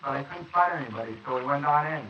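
A man's voice: a little high, fast talker, dull audio, natural voice. Brief: chatty, rambling neighbor witness, played by a man, distant roomy hissy wire recording. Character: rambling, talkative middle-aged neighbor witness. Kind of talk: 1940s radio acting.

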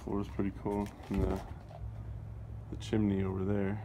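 A man with a fairly low voice narrates a casual travel vlog. He talks in an offhand, rambling way. Clear outdoor noise of wind and water runs behind him. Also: monotone, measured pace. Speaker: vlogger. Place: outdoors on and around a lake.

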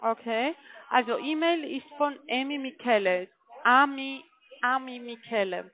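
A woman speaking as a non-native call centre agent on the phone, halting and insistent, in broken sentences. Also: high-pitched, no echo. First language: not German. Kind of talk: unscripted phone conversation. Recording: muffled narrow phone line.

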